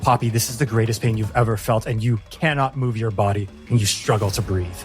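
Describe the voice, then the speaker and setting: A man narrating a tabletop fantasy combat as game master, in a deliberate, vivid storytelling manner. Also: fairly low pitch, fairly even intonation, a little mellow. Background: music.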